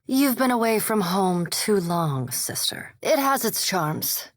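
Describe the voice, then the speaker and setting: A woman playing a coolly polite, scholarly vampire animancer in a video game, speaking in a measured, formal and precise way. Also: on the low side, no echo, clean studio sound. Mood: softly reflective. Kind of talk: video game character dialogue.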